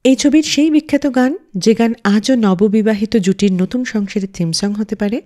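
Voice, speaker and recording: A woman's voice: fairly high pitch, brisk. A woman radio host presenting a music show in a scripted, storytelling way. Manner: lively, storytelling delivery of film trivia.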